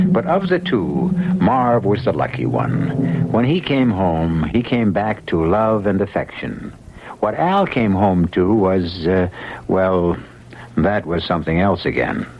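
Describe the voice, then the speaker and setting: A man's voice: deep voice, noisy background. A man narrating a 1970s radio drama as its host, telling the story. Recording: dull vintage radio recording. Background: music.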